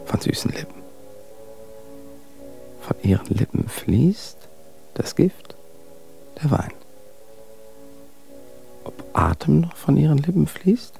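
Halting, anguished Romantic poet, swooping and whispering. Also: male voice, a little high, very animated, gravelly, dark tone, faint music behind, exaggerated voice. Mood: haunted, searching. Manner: halting, searching, breaking into whispers. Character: anguished Romantic poet groping through his own love verses. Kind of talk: radio drama verse recitation.

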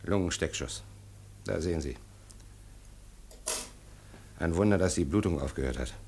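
An actor playing a brusque, detached army field surgeon with clipped speech in a radio drama. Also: male voice, low-pitched, expressive, normal speed, darkish tone, slight background noise.

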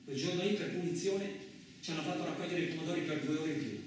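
A man's voice: a little high, fast talker, recorded from a distance. A man performing a colloquial first-person dramatic monologue for radio theatre. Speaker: stage actor performing a monologue. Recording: very echoey room, off-mic, faint noise.

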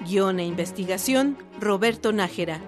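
A woman's voice: mid-range voice, normal speed. A woman with a Mexican accent reading scripted narration for a historical radio drama. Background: music with fiddle.

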